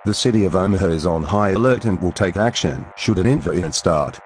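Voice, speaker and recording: A man playing a serious local radio news announcer, reading scripted bulletins in a measured, formal way. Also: low voice, expressive. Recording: noisy broadcast with hum.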